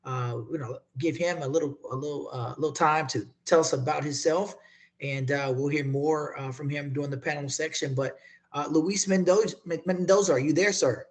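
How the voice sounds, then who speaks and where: A man hosting a virtual hiring event over a video call, halting and repeating words, with many fillers. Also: on the high side, steady tone. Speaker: event host and moderator.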